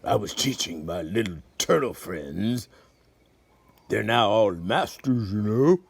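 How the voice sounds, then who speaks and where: A laid-back kung fu teacher, put on by a woman, spins a story slowly and playfully, tossing in "dude". The voice sits in a middle register but swings widely up and down. It sounds close and dry. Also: a bass voice, expressive, creaky, slightly rough, no room sound, voice pushed down, full character voice. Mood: storytelling. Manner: slow, easygoing, playful storytelling.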